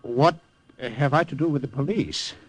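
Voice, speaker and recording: An actor playing an American undercover agent, narrating earnestly and stammering in dialogue, in 1940s radio style. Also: male voice, medium pitch, moderate pace, slightly rough, no room sound, close to an everyday voice, poor audio. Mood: startled.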